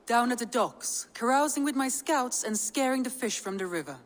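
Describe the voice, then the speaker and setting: An actress playing a composed clan adviser in a video game, speaking in a formal, measured and wry manner. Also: female voice, fairly high pitch, animated, natural voice. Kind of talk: video game character acting.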